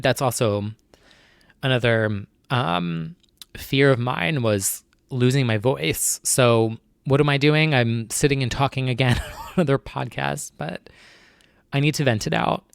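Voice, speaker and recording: A young man with a gravelly, creaky voice, chatting alone into a close mic about his nerves. He rambles and restarts with plenty of fillers, and the recording is dry and tight. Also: medium pitch, no echo. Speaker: podcast host. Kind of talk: casual solo chat.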